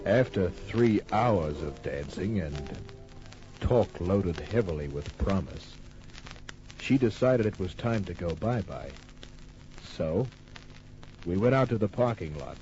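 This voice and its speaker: A man's voice: sits low, lively intonation, takes it slowly, pauses often, warm tone. An actor playing a wry, hard-boiled private detective who narrates his case in a laconic, cool way in an old-time radio crime drama. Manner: laconic, cool, clipped storytelling. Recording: muffled old radio recording with music.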